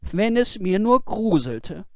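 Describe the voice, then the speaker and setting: A fearless young lad from a storybook, acted out by a male reader, pitched high and swooping freely. He is naive, bold and carefree, exclaiming cheerfully as if nothing could bother him. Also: very animated, takes it slowly, dark tone, no echo, studio quality, exaggerated voice. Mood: wistful complaint. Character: cheerful fearless naive youth, boastful and unbothered. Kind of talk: fairy-tale storybook character voice.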